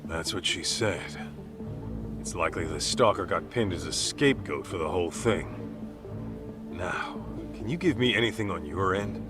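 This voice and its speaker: A man's voice: sits low, expressive, normal speed, over music. A man acting a laid-back, street-smart private detective in a dubbed video game cutscene, with a casual, blunt way of talking. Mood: probing.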